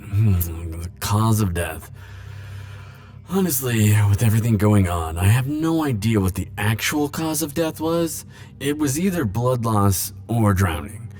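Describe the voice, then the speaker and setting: A man playing a defensive, lovelorn immortal in an ASMR roleplay, speaking hesitantly with stammers and halting phrases. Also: fairly low pitch, a little mellow, slight background noise. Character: flirtatious, defensive male immortal pining for Grim Reaper. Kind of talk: ASMR roleplay monologue to the listener.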